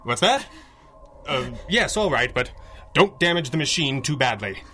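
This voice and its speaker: An actor playing a masked 1930s crime-fighting hero in a radio adventure, articulate and formal with a dry wit. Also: male voice, mid-range voice, big pitch swings, moderate pace, bright, forceful tone, slight background noise. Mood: urgent. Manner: articulate, formal, theatrical delivery.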